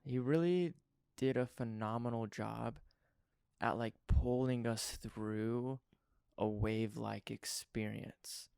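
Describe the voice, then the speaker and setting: A young adult man hosting a podcast interview, talking in an earnest, effusive way with a voice worn hoarse. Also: mid-range voice, slow pace, darkish tone, dry recording, clean studio sound. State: partly lost voice. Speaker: podcast host. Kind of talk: casual interview chat.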